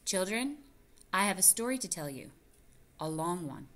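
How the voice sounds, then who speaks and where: A woman reading the part of a careful mother mouse in a storybook, measured and gently reasoning. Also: lowish voice, very animated. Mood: gentle.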